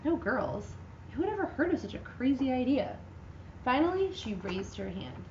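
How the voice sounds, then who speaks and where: A woman giving a dramatic reading of a children's picture book, with lively, expressive delivery and voices for the characters. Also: sits high, normal speed, reverberant, dull audio, a little hiss or hum. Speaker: reader.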